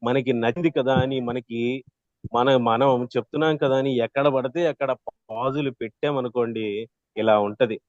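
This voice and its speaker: A man teaching an interactive online class as a trainer, explaining with examples and often checking in with a quick "right?". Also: medium pitch, steady tone, a little mellow, dry recording, lo-fi sound. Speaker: voice modulation trainer.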